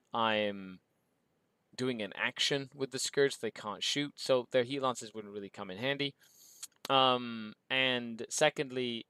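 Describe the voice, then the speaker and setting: A man's voice: mid-range voice, fairly bright tone, dry recording. A man from Mexico with an English accent, hosting a livestream and reading out viewer questions in an upbeat way before answering them. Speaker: show host.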